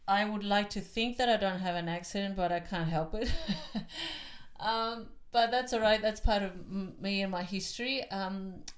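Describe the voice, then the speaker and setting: A woman's voice: mid-range voice, normal speed. A woman in her fifties from Chile with a Chilean Spanish accent, a podcast guest talking with frequent hesitant ums. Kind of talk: casual interview chat.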